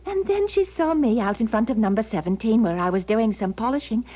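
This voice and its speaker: A woman's voice: on the high side, animated, a little fast. An actress playing a kindly working-class London charwoman with a London accent, folksy and rambling, in a 1970s radio drama. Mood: chatty. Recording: dull 1970s radio broadcast.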